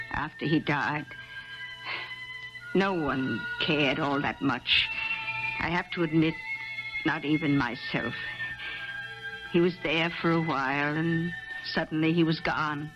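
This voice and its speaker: Reflective, higher-voiced office worker. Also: male voice, steady tone, slow pace, long pauses. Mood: detached reflection. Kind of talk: 1970s radio drama narration in character. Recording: dull old radio broadcast with music.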